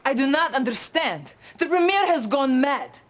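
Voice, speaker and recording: Fields. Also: female voice, high-pitched, big pitch swings, moderate pace. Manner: urgent, formal briefing. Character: loyal, earnest female Soviet officer. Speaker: Soviet military officer.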